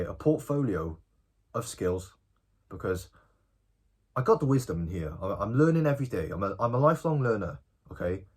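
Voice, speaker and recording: Brief: male, 18, casual vlogger, lively intonation, slightly husky tone, informal and direct. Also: lowish voice. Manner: animated, informal, often tagging lines with "bro" and "okay?". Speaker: self-improvement vlogger. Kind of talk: casual direct-to-camera vlog.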